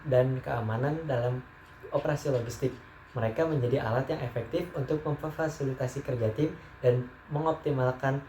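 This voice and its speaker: A man's voice: mid-range voice, mellow. A young man, a student, reading out a class presentation and stumbling over a few words. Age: young adult. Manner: reads steadily, stumbling on some words. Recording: echoey room, faint background noise.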